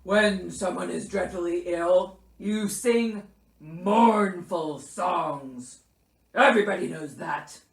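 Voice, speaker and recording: A woman voicing a grumpy, self-pitying male bear who is ill, gruff and pompously melodramatic, in a children's storybook. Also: fairly low pitch. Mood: indignant. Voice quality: gruff, growly. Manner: loud, pompous, melodramatic, drawn-out. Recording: roomy echo.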